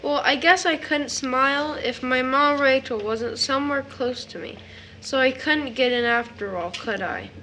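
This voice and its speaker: An eager, innocent little boy played by a woman in an audio play. The voice is very high and bright, full of childlike wonder. Faint noise sits behind the recording. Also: measured pace. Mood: sleepy, earnest. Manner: childlike grammar and wondering questions. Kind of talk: stage drama audio acting.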